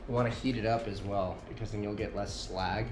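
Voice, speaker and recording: A man's voice: on the low side, fairly even intonation, fairly quick. A young man, a workshop welder, casually explaining a hands-on job as he works in a garage. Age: young adult. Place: large concrete workshop garage. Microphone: camera held nearby. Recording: echoey garage, music over it.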